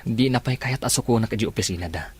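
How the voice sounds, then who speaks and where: A man's voice: lowish voice, fast, a little hiss or hum, natural voice. A man with an Ilocano accent acting a heartbroken, sincere young man in an audio drama, plaintive and earnest. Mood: dejected. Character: heartbroken young man resigned to unrequited love, sincere and gentle.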